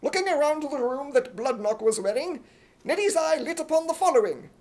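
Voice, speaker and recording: A man's voice: very high voice, lively intonation, brisk, darkish tone. A man from the United States narrating a scripted radio comedy in an imitation British accent. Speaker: solo performer narrating a script.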